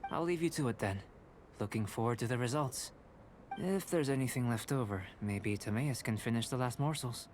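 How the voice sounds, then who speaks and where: A man voicing a calm, curious young male alchemist in a video game, speaking in a measured, polite, scholarly way. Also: mid-range voice, slight background noise, lightly acted. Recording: game audio with music behind. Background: music.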